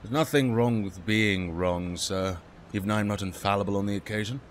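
Older loyal butler, acted by a man: deep voice, formal courtesy, dry wit, faint noise behind. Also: fairly even intonation, moderate pace, natural voice. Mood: gently probing. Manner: polite, formal, dryly wry. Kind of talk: comic book fan dub dialogue.

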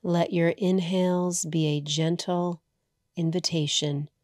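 Very slow, low, soothing woman guiding meditation. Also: fairly even intonation, dry recording, studio quality. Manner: soothing, hushed, measured delivery with long pauses. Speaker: yoga nidra meditation guide. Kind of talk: guided meditation.

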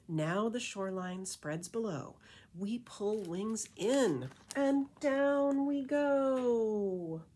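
A middle-aged woman reads a children's picture book aloud as a librarian, with rhythmic, animated storytelling and casual asides. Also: fairly high pitch, very slow, a little hiss or hum. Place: indoor room with painted wall.

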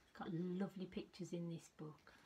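A woman in her fifties reads a children's story in a measured, expressive way, with a slightly husky voice. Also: lowish voice, heavy background noise. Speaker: teacher reading a storybook to young children. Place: small furnished room at home, indoors.

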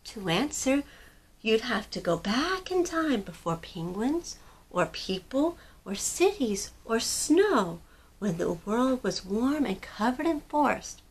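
Woman plays a gentle mama penguin, soft and calm, storybook. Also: on the high side, takes it slowly, breathy edge, exaggerated voice, low-quality recording.

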